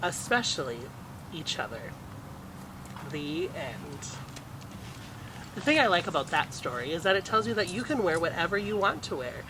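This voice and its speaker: Male storytime reader, highish voice, very animated, picture-book narration, clear background noise. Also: moderate pace, long pauses, distant mic. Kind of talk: expressive picture-book reading with asides to children.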